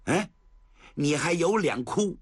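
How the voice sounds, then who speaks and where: Cold, defiant young warrior, as a male storyteller puts him on. Sneering, taunting, pitched up and husky. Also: animated, takes it slowly, exaggerated voice. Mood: contemptuous.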